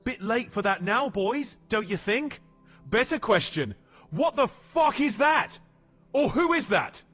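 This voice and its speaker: A brash young-sounding rogue assassin, played by a man in a fantasy audio drama. His high voice moves animatedly, sarcastic and defiant, with faint music beneath. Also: big pitch swings, a little slow, a bit bright, exaggerated voice. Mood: brash, startled. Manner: sarcastic, indignant. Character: cocky, sharp-tongued male assassin, brash and defiant.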